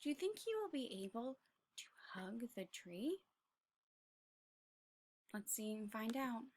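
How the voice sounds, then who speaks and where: A woman reading a story aloud as a teacher, expressive and encouraging, pausing to put questions to the children. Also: on the high side. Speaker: second grade teacher.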